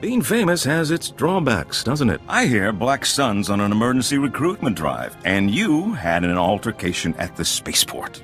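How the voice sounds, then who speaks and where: A man's voice: mid-range voice, big pitch swings, normal speed, few pauses, fairly bright tone, soft background music. A man playing a suave, genteel gambler and information broker with an urbane, teasing manner in a video game. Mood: amused, smooth.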